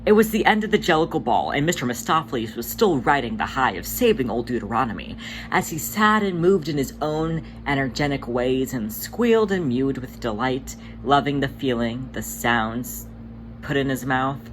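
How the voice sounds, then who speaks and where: A narrator reading fan fiction aloud in an expressive storytelling style, with a mid-range voice. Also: mid-range voice, normal speed. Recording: faint background noise.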